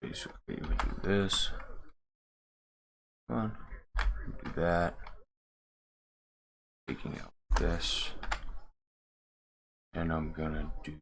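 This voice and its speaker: A man with a gravelly, extremely low voice mutters casually as he works through game modding. He speaks slowly in short fragments, thinking out loud with the odd curse. Keyboard typing and clicks sit behind him. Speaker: game modder. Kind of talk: casual screen-recorded work commentary. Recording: clear background noise, keyboard clicks. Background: computer keyboard typing and clicks.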